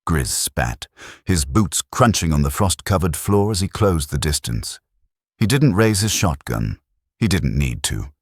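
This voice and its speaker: Very deep, husky male audiobook narrator. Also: unhurried, dry recording, clean studio sound. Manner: steady, dramatic storytelling. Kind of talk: scripted narration.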